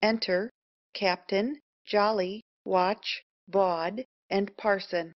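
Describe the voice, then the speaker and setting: A woman's voice: mid-range voice, fairly even intonation, drawn-out words. A woman narrator reading stage directions for a scripted play. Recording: muffled lo-fi audio.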